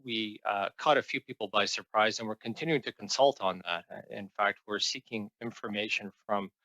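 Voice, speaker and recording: Male senior official answering committee questions; low-pitched, bright, formal and diplomatic, halting with repeated words. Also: dull audio. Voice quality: hesitant delivery, frequent stumbles and repeated words. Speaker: senior transport department official.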